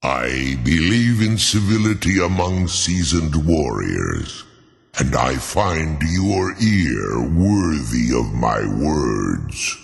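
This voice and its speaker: An actor playing an ancient, proud and solemn dragon in a fantasy game, speaking formally and slowly in a deep gravelly voice. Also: male voice, sing-song, heavily acted. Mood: solemn, courteous. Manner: slow, formal, portentous. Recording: long cavernous reverb.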